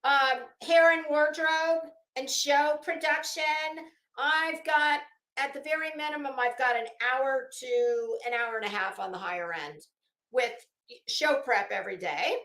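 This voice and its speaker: A woman with a fairly high voice talks slowly in an echoey room. She delivers opinionated, off-the-cuff commentary like an online host, sarcastic and taunting, leaning theatrically on her words. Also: a bit bright. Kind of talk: unscripted opinionated commentary rant.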